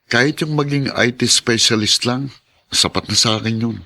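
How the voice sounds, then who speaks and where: A humble young Filipino student in a radio drama. His medium-pitched voice is earnest and somewhat doubtful. He comes across as a cautious, caring grandson. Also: normal speed, pushed and bright.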